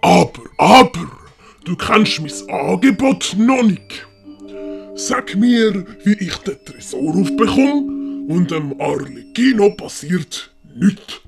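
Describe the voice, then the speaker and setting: A woman puts on a smug male villain boss. He threatens slowly and deliberately in a raised, creaky voice, scheming over background music in an audiobook. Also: lowish voice, big pitch swings, exaggerated voice. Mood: smugly menacing. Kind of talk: audiobook character acting.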